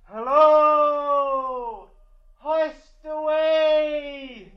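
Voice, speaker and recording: Obedient valet in old radio play, drags words out super slow, muffled recording. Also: male voice, very high voice, steady tone, exaggerated voice. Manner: slow, servile. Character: deferential manservant to a rich young master. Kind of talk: 1940s-50s radio drama acting. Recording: dull, distant vintage radio recording.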